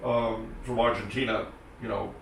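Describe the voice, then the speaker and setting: A man's voice: on the low side, fairly even intonation, moderate pace, gravelly, fairly bright tone. A man whose first language is French, giving dry, sarcastic, wide-ranging answers as an interview guest. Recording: echoey room, distant mic, faint noise.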